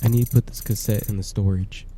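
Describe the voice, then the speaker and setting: A man's voice: fairly low pitch, fairly even intonation, fast, noisy background. A man giving casual voice-over commentary on a horror game, talking in a plain, conversational and slightly hesitant way. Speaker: gameplay commentator.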